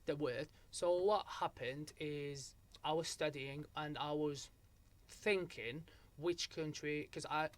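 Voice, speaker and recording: Young man from Algeria, interview guest, a fairly high voice, storytelling full of restarts. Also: steady tone, slow. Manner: storytelling with many restarts and repeated "so what happened?". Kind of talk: casual interview chat.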